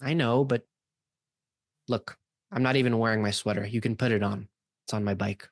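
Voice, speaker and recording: A man's voice: lowish voice, steady tone, normal speed, no echo, clean studio sound. A man playing an anxious, evasive young man in an amateur radio play, hesitant and defensive, often repeating himself. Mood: guarded.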